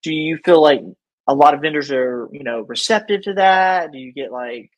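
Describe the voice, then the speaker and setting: A man's voice: a little high, normal speed, lo-fi sound. A man hosting a casual interview, talking in an informal, slangy and opinionated way. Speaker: podcast host.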